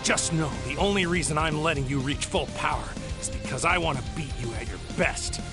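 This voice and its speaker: A man's voice: a little high, a little fast, close to an everyday voice. A man playing a proud, righteous young warrior hero in a dramatic anime video game, with intense delivery. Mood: determined. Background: dramatic music.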